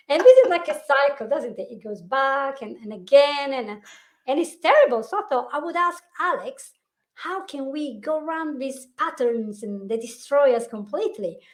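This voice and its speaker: A woman's voice: sits high, lively intonation, moderate pace. A woman hosting a casual online chat over a video call, chatty and often restarting her words. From Italy. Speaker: host, hypnotherapist. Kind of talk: casual live-stream chat.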